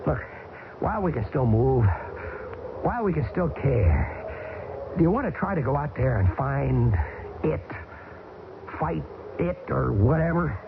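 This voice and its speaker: A man's voice: mid-range voice, animated, a little slow, vocal fry, pauses often. An actor playing a wry, wisecracking American man, scared but determined, in a 1970s radio drama. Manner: wry, folksy banter. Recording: hissy old radio broadcast, tinny.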